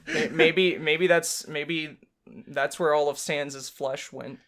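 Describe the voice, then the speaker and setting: A woman's voice: low voice, fairly even intonation, takes it slowly, fairly bright tone. A woman co-hosting a game playthrough, bantering casually in a joking, rambling way. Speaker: gaming channel co-host.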